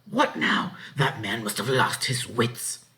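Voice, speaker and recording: A man's voice: mid-range voice, big pitch swings, slow pace, pushed and bright, heavily acted. A man voicing a stern, exasperated farmer's wife with a commanding, exclaiming manner in a read-aloud story. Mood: incredulous.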